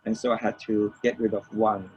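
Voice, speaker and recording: An older man from Malaysia, reminiscing as an interview guest in a halting way, repeating phrases as he explains. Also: fairly low pitch, level tone, a little mellow. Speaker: interview guest, record producer. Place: room with shelves of DVDs, on a remote call. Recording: dull lo-fi call, faint noise.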